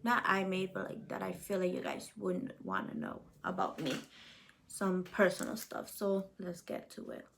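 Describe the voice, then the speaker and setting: A woman's voice: lowish voice, sing-song, moderate pace, gravelly, fairly bright tone, a little hiss or hum. A woman in her twenties from El Salvador, chatting casually to her viewers in a question-and-answer vlog, with lots of fillers and a direct "you guys" manner. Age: 20s. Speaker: vlogger.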